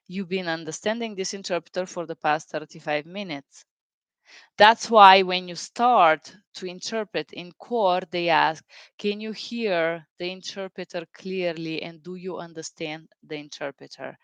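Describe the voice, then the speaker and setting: Smooth, low-voiced woman storyteller, English. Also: dry recording. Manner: animated retelling, acting out quoted dialogue; non-native English phrasing. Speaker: interpreter telling work stories. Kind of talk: casual storytelling monologue.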